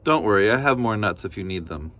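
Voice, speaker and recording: A man voicing a kindly old grandmother who fusses warmly over her guest. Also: on the low side, expressive, quick pace. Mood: doting. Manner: doting, gently scolding.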